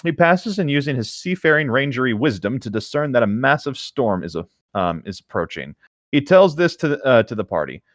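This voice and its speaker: A man's voice: mid-range voice, sing-song, brisk, no room sound, clean studio sound. A man co-hosting and casually reading a tabletop gaming story aloud. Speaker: podcast co-host.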